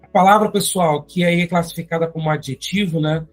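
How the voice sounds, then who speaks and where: A teacher with a Brazilian Portuguese accent, going step by step through an exam-prep grammar lesson and often adding quick check-in tags. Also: mid-range voice, fairly even intonation, faint music behind. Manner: explains step by step, often adding tag questions.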